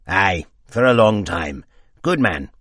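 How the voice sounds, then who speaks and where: A loyal, plain-spoken old cabbie, as a male narrator puts him on talks slowly and heartily, leaning hard on his words. His voice is loud and full. The recording is close and dry. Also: low-pitched, animated, dry recording, heavily acted. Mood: earnest. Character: plain-spoken older cab driver, loyal and steady.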